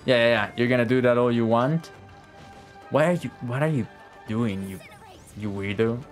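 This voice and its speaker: A man's voice: medium pitch, moderate pace. A man giving casual live-stream game commentary in slangy, chatty language with plenty of swearing. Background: game music.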